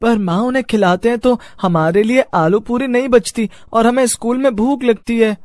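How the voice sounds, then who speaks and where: Young son, earnest, childlike, high-pitched, dry cartoon-story recording male actor. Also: normal speed, darkish tone, dry recording. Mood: plaintive. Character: earnest, innocent young schoolboy son complaining to mother.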